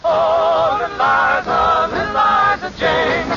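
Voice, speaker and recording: A man singing an old-time folk song in a lively style. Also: very high-pitched, takes it slowly. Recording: muffled vintage recording under loud music.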